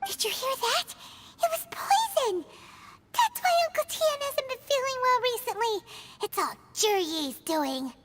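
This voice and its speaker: A woman voicing a tiny, excitable female fairy companion with a shrill, exclamatory manner. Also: measured pace, very noisy, full character voice. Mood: indignant. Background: game music.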